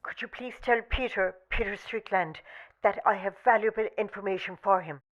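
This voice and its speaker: A woman's voice: mid-range voice, normal speed, a bit bright, highs cut off, lightly acted. A woman playing a hesitant, polite informer in a radio play. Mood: nervous, secretive.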